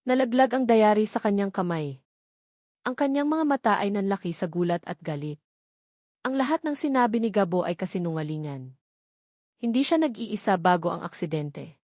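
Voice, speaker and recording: A woman reading a scripted romance story as narrator, in a measured storytelling delivery. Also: medium pitch, animated, darkish tone, dry recording, studio quality.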